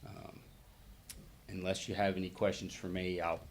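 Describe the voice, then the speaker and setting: A man speaking as the applicant's engineer at a public hearing, halting and hesitant. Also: low voice, little pitch movement, a little hiss or hum.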